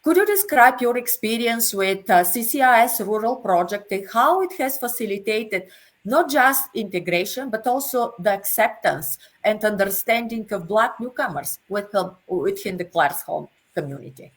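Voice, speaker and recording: Female moderator, mid pitch, formal and prepared, on a video call. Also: lively intonation, moderate pace. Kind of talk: moderating a virtual panel.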